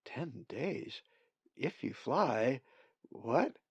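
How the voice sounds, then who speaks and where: A man's voice: medium pitch, big pitch swings, very slow, slightly rough, no echo, dull audio, heavily acted. A man voicing a curious young male raven, asking hesitant questions. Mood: puzzled.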